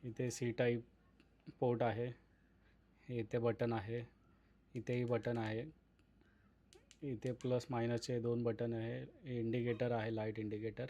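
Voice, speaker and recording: Casting: male vlogger, flat mid-pitched delivery, casual product walk-through, explaining to viewers in slightly muffled lo-fi sound. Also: slow, pauses often. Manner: explanatory, addresses viewers as friends. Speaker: vlogger presenting a product unboxing. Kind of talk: casual unboxing vlog commentary.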